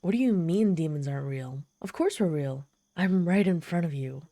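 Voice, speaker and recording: A woman's voice: sits low, expressive, normal speed, dark tone, dry recording, studio quality. A woman playing a proud, lonely, desperate and socially awkward demon in a dramatic audio roleplay, haughty yet pleading. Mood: haughty, exasperated. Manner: haughty yet pleading, theatrical sighs and gasps. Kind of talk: dramatic ASMR roleplay storytelling.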